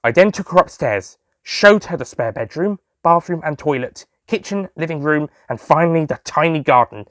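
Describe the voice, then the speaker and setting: A man's voice: fairly high pitch, lively intonation, normal speed, hardly any pauses, a bit bright, no room sound, studio quality. A man playing a jolly, sentimental male sweet-shop owner who tells his own story in a fond, theatrical manner for an audio drama. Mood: chatty, reminiscing.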